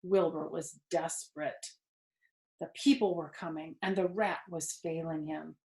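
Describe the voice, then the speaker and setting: A woman reading a children's story aloud expressively, pausing to explain things to young listeners. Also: deep voice, fairly even intonation, creaky, darkish tone. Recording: roomy indoor echo.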